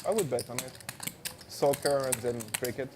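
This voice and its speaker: Man, poker player, relaxed storyteller, mid pitch, loud room noise behind. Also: normal speed. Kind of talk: casual table chat. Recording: noisy room with typing and clicks.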